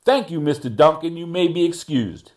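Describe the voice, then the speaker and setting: A man playing a brisk, skeptical judge who questions counsel in short, probing remarks in a courtroom readers theater piece. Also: on the high side, darkish tone, natural voice. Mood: curt. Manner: curt, probing.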